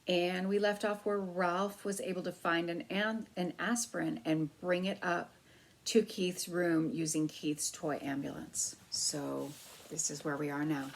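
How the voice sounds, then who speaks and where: A woman reading a children's book aloud as storyteller, in an expressive narrating style. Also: fairly low pitch, unhurried, creaky.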